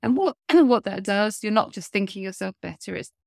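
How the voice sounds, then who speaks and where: Woman, 40s, interview guest, stuffy nose, husky fry in the voice, mid pitch, chatty and earnest. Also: animated, moderate pace, no echo, studio quality. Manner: earnest, chatty, many fillers and restarts. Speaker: guest, coach. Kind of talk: casual interview chat.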